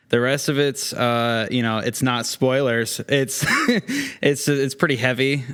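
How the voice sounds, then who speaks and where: A man speaking as a guest actor in a casual interview, halting and joking as he goes. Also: medium pitch, fairly bright tone, clean tone.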